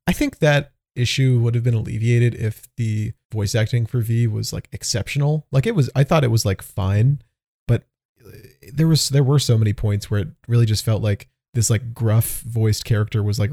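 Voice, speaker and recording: A man's voice: mid-range voice, fairly even intonation, moderate pace, creaky, mellow, no room sound, studio quality. A man chatting casually about games in a laid-back, hesitant way. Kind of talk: casual chat.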